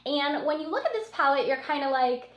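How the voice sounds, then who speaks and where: A woman's voice: high-pitched, lively intonation, fairly quick, echoey room. A woman beauty vlogger with a cold and a scratchy, sniffly voice, chatting casually and gushing about her favorites, repeating words for emphasis. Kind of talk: casual product review chat.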